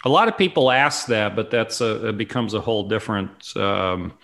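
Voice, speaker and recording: Gravelly man in his fifties, rambling questions. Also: lowish voice, normal speed, fairly bright tone. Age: 50s. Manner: rambling questions with restarts, frequent affirmations. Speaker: interview host. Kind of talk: conversational interview.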